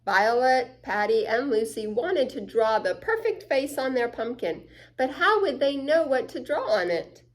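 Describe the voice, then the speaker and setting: A woman reads a children's story at a very unhurried pace. Her voice sits fairly high, and her delivery is expressive, moving between hushed and excited as a story time reader. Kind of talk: read-aloud picture book narration with asides to listeners.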